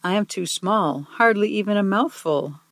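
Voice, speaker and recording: A woman reading aloud gives a small young owl knight, earnest and soft-spoken, with a gentle, mid-height voice. He is brave but polite, and the sound is dry and close. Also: medium pitch, moderate pace, no room sound, close to an everyday voice.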